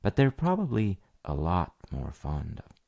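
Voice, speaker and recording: A man playing a tender dad who reads a bedtime fairy tale in a gentle, measured storybook style. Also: deep voice, wildly swooping pitch, vocal fry, full character voice. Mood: gentle, storytelling.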